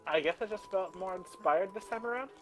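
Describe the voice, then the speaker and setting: A woman's voice: mid-range voice, brisk, lightly acted. A woman playing a polite, nervous young female college student, speaking formally and earnestly in a story reading. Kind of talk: character voice in a live fan-fiction reading. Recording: music playing behind the voice.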